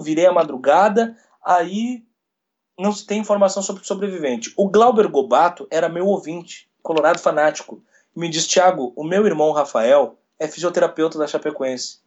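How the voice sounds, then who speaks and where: A man, a radio sports journalist telling a story in an interview, retelling events quickly with quoted dialogue. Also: high-pitched, darkish tone. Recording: dull lo-fi recording.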